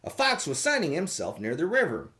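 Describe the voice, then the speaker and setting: Read-aloud host for kids, playful and theatrical, with hugely swooping pitch. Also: huge pitch swings, a little fast, sharp tone. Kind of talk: expressive storybook reading.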